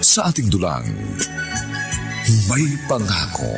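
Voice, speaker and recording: A man with a low voice announces a radio drama slowly and dramatically. His intonation swings widely over loud music. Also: wildly swooping pitch.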